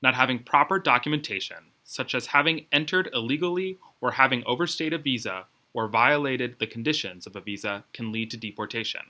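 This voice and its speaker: A man presenting an educational video in a scripted, measured, explanatory way. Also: medium pitch, pushed and bright. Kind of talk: scripted informational narration.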